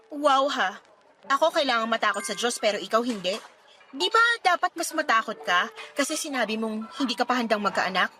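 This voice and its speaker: A young pregnant woman in a Filipino radio drama, speaking in a very high voice. She is wounded and reproachful, pleading with a close friend in a Filipino accent. Soft music sits behind her. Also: animated, brisk. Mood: hurt, indignant. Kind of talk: radio drama acting in Tagalog.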